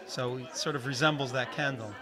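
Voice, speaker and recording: Rabbi explaining fluently over crowd noise. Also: male voice, mid-range voice, steady tone, a little fast. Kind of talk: event interview. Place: outdoor community holiday event. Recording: noisy crowd outdoors. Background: crowd chatter.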